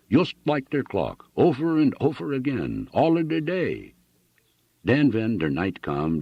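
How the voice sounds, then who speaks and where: A man's voice: low-pitched, husky, dry recording, voice pushed up, exaggerated voice. A man voicing a middle-aged German immigrant farmer with a heavy German accent, speaking broken English full of German exclamations. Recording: dull dry lo-fi recording.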